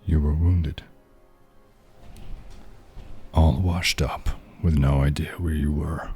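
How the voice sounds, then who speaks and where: Guy doing a gravelly-deep tired noir detective, slow and husky, soft music under it. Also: fairly even intonation. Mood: brooding. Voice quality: very deep, husky, low murmur. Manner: slow, brooding, half-whispered delivery. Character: hard-boiled male noir detective, world-weary and cynical. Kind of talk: ASMR noir audio drama narration.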